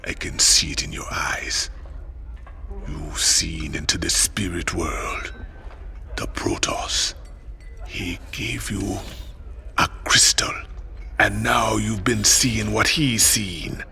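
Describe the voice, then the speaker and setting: An actor playing a brooding, cryptic psychic outlaw in a video game, with a Caribbean accent and a gravelly, ominous way of speaking. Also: male voice, fairly low pitch, sharp tone, whispered, exaggerated voice. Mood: knowing, cryptic. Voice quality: gravelly, often breathy. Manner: slow, ominous, knowing. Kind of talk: video game cutscene acting. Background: music.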